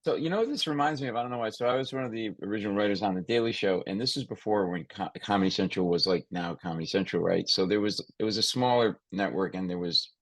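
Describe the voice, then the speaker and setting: A man with a fairly low voice hosts a casual interview in a dry, close-sounding recording. He is animated and rambling, starting a thought, breaking off and picking it up again from another angle. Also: fast talker, few pauses, no room sound. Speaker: podcast host, comedy writer.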